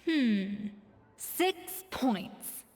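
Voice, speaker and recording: An actress playing an exacting, haughty master chef in an anime game, imperious and theatrical in manner. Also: female voice, fairly high pitch, expressive, very slow, darkish tone. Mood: scathing.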